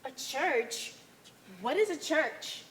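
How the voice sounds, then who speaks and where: Stage play: woman plays haughty cultist, slow puzzled delivery, faint background noise. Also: sits high, animated, fairly bright tone, full character voice. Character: haughty, literal-minded blue cult member. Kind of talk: amateur one-act stage play acting. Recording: faint noisy stage ambience.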